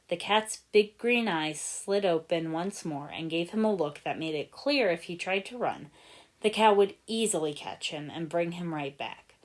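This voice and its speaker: Expressive woman reading a story, lowish voice. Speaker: fan fiction narrator. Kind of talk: expressive scripted storybook narration.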